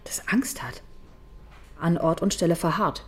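A woman's voice: on the low side, measured pace, slight background noise, natural voice. A woman playing a sober, sceptical police detective in a German radio crime drama, dry and thoughtful in delivery. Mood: dawning realisation.

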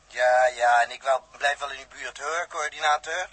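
A man's voice: medium pitch, moderate pace, a bit bright, slightly rough. A male actor playing a distrustful spaceship crewman in a Dutch radio drama of the 1960s, blunt and grudging, with a Netherlands accent. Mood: wary.

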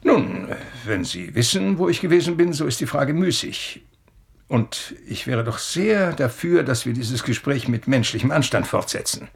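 An actor playing an elderly, eccentric male professor in a radio play, courteous and evasive, with a deliberate, slightly hesitant way of talking. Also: mid-range voice, lively intonation, creaky, husky, full character voice. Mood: dryly defensive. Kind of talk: German radio play acting.

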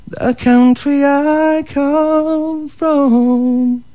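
Man acting a mellow, drawn-out folk street singer. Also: very high-pitched, fairly even intonation, voice pushed up, full character voice. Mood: wistful. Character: street singer singing a slow folk ballad. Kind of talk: slow sung folk ballad.

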